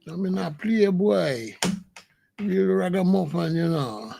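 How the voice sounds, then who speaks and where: A man's voice: high voice, animated, unhurried, creaky, mellow, a little hiss or hum, full character voice. A man voicing a boastful, tough-talking rasta with a put-on Jamaican patois, full of repeated tags. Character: swaggering tough male rasta, boastful, no-nonsense. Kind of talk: comic impression.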